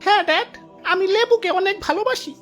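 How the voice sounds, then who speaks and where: Woman doing young cartoon orange girl, very high voice, pleading and lovestruck. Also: fast talker, fairly bright tone, soft background music. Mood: earnest pleading. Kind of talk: comic cartoon acting.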